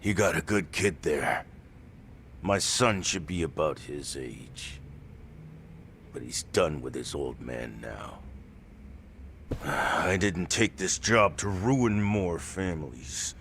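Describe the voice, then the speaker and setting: A man's voice: medium pitch, animated, measured pace, long pauses, pushed and bright, slight background noise. A man playing a hulking, blunt ex-gangster enforcer in a dubbed video game cutscene. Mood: weary, regretful. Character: hulking male ex-yakuza enforcer, blunt, world-weary father.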